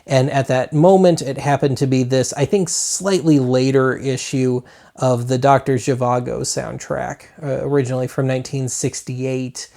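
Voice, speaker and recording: A man's voice: mid-range voice, flat delivery, moderate pace, vocal fry, dark tone. Casually rambling man hosting a show-and-tell. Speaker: host of a retro media web show.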